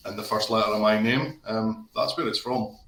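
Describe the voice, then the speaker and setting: A man's voice: lowish voice, steady tone, normal speed, fairly bright tone, echoey room. Chatty Aberdeen Scotsman on a video call. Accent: Aberdeen Scottish. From Scotland. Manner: chatty, rambling with many restarts and fillers. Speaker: guest. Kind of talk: casual live-stream chat. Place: home office.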